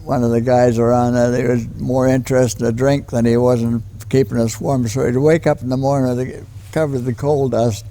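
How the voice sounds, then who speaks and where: A hundred-year-old man with a western Canadian accent and a gravelly voice, recalling his life in a halting, plain-spoken, folksy way as a veteran being interviewed. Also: medium pitch, flat delivery, dark tone. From Calgary, western Canada. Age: 100. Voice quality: gravelly, creaky. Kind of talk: personal reminiscence in an interview.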